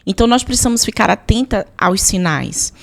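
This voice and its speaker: A woman's voice: mid-range voice, lively intonation, fairly quick, creaky. A woman with a Brazilian accent telling personal testimony as a podcast guest, emphatic, repeating phrases and voicing her own prayers.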